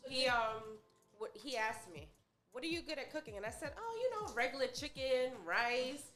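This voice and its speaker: A woman's voice: high-pitched, expressive, moderate pace, reverberant, over music. A woman chatting casually and teasingly, laughing as she talks, heard from away from the mic. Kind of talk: casual chat.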